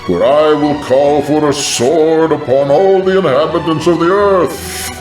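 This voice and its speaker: A man voicing God in a dramatized vision, pronouncing wrathful judgment in solemn, drawn-out archaic proclamations. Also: fairly high pitch, darkish tone, close to an everyday voice. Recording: echoing, music behind the voice. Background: music.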